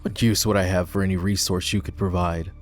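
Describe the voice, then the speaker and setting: A man playing a proud, bitter lowborn knight and war hero in a dramatic fantasy roleplay audio, with a sardonic, scornful manner. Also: level tone, brisk, faint music behind, lightly acted. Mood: sardonic, impatient. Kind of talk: fantasy roleplay ASMR voice acting.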